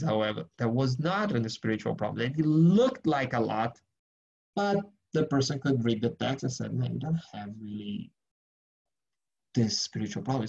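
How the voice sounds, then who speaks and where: Gravelly Brazilian man on a video call. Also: mid-range voice, a little mellow. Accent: Brazilian Portuguese. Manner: rambling, self-correcting storytelling. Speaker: speaker answering questions. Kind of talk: online Q&A talk. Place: home, virtual beach background. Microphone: earphone mic on a video call. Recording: muffled lo-fi video call audio.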